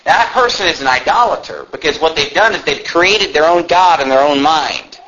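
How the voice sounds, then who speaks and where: A man's voice: on the high side, expressive, fairly quick, sharp tone. An American man preaching a sermon, speaking emphatically with rhetorical questions and repetition to drive points home. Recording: muffled lo-fi with faint background noise.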